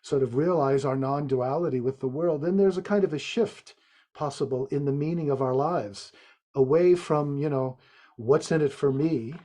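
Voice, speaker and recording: A man speaking as a podcast guest, explaining Zen ideas in a thoughtful, halting way with frequent restarts. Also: a little high, dark tone. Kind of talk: reflective interview talk.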